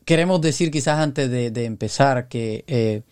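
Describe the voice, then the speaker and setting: A man's voice: medium pitch, moderate pace, darkish tone, clear, resonant tone, dry recording. Male co-host, earnest and teaching, recorded dry and close. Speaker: podcast co-host.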